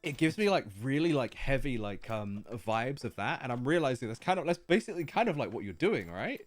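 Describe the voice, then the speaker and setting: A man's voice: mid-range voice, very animated, brisk, no echo. A man hosting a casual livestream, eager and chatty, laughing often as he talks with his guest. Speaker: livestream host.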